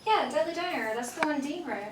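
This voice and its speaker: A woman's voice: high-pitched, lively intonation, a little fast. A woman playing a chatty, guileless, supportive coworker in a live stage play. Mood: eager, sincere. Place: indoor theater stage, picked up from afar. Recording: echoey stage, distant mic, noisy.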